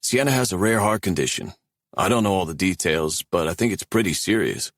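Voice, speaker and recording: A man's voice: sits low, animated, brisk, dry recording, clean studio sound. A man voicing an earnest college buddy. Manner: earnest, talkative. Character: chatty, earnest young college guy.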